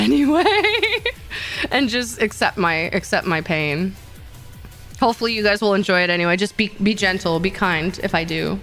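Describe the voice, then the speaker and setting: A woman's voice: on the high side, a bit bright. A young woman streaming casually, rambling and teasing herself, with many fillers and laughs. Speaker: VTuber streamer.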